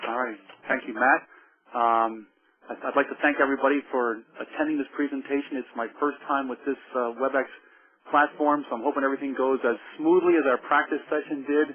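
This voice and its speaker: A man's voice: medium pitch, fairly even intonation, fairly quick. Middle-aged jersey guy explaining tech stuff on a webinar, lots of ums and restarts, tinny phone line. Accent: New Jersey. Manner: easygoing, repeats words and restarts, many ums. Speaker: webinar presenter, forensic engineer. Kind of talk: technical lecture.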